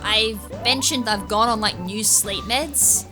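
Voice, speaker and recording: A woman's voice: medium pitch, slow pace, poor audio. A woman giving casual, rambling video game walkthrough commentary, thinking aloud with an occasional stutter. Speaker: gaming YouTuber, series host. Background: game music.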